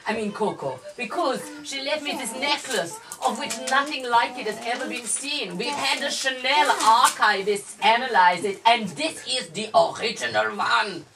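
A woman's voice: fairly high pitch, normal speed, hardly any pauses, natural voice. A woman voicing a proud but placating male fashion king in a comic puppet show. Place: small indoor shop puppet show. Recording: distant camera in room with audience.

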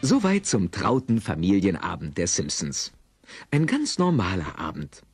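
A man's voice: low-pitched, wildly swooping pitch, a little slow, faint music behind. A man narrating a children's audio drama with theatrical, wry storytelling.

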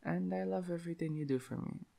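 A man's voice: fairly high pitch, fast talker, mellow. A man voicing an affectionate, shy young dog-boy boyfriend in an intimate roleplay, with a lisp and hesitant, cutesy delivery. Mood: adoring. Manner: breathy giggling, hesitant stammering, cutesy. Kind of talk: intimate roleplay audio acting.

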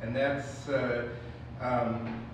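A man's voice: mid-range voice, little pitch movement, very slow. A man in the audience asking a long question, rambling with many fillers. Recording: far-off echoey hall with noise.

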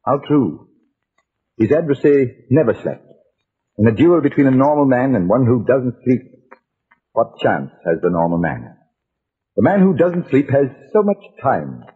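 A man's voice: mid-range voice, breathy edge. A man narrating in a formal, theatrical old-time radio style, as the presenter of a series. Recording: narrow-band vintage radio recording.

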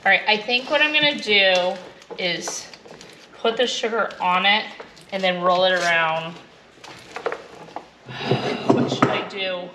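A woman's voice: medium pitch, slow, pauses often, very noisy. Chatty woman cooking in her kitchen. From Alaska. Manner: chatty, explains steps as she cooks. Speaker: homestead vlogger. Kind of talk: casual cook-along vlog. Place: home kitchen, indoors. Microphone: handheld or mounted camera, moving around. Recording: clear kitchen clatter behind. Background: clattering pots, dishes and cutlery.

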